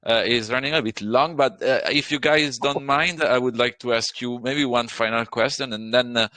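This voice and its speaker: A man's voice: mid-range voice, fairly even intonation, moderate pace, sharp tone, no echo. A man moderating an online AMA as community host, polite and rambling with fillers.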